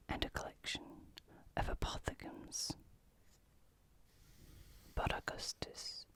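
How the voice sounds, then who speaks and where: An ASMR host whispering as they read aloud and explain in a scholarly, deliberate manner. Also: poor audio.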